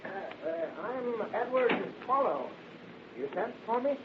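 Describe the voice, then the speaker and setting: An actor playing a timid, deferential druggist in an old radio drama, stammering and repeating himself hesitantly. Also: male voice, high voice, sing-song, slow pace, exaggerated voice. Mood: nervous, deferential. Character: timid, flustered small-town druggist, meek and deferential. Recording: muffled, noisy vintage radio recording.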